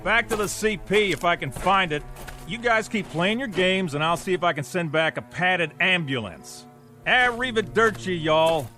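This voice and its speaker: A scoffing, blustering soldier in an audio drama, with a fairly high voice. His tone swings widely and lively through each line. Faint music plays underneath. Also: normal speed. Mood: exasperated. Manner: sarcastic, blustering. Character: skeptical wisecracking male army soldier. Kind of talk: radio drama acting.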